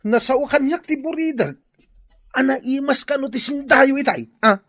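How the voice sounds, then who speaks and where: A man's voice: very high voice, moderate pace, fairly bright tone, dry recording, clean studio sound. A man with an Ilocano accent playing a lazy, self-pitying husband in a radio drama. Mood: indignant. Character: lazy, whining, self-pitying husband who shirks work. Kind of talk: Filipino radio drama acting.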